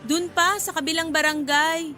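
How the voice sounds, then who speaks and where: A woman's voice: very high-pitched, steady tone, moderate pace, pushed and bright, soft background music. An actress playing a playful, forward young flower seller in a Filipino radio drama, coy and giggly as she teases. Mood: friendly, asking favor.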